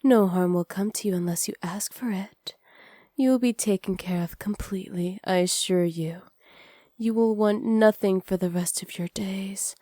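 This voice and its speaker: A woman playing a seductive, teasing witch in an intimate ASMR roleplay, sly and possessive, often slipping into breathy whispers close to the microphone. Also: medium pitch, warm tone, no room sound, close to an everyday voice. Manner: slow, breathy, often whispered, flirtatious purr. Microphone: close studio microphone.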